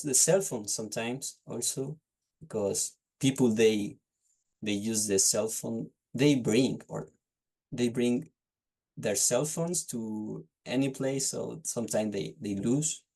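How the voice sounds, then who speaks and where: A man's voice: mid-range voice, slow pace, vocal fry, a little mellow, rough recording. A young man with a Chilean accent, answering exam questions hesitantly and often restarting phrases as he searches for words, over a video call. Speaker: test candidate. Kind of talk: unscripted speaking-test answers.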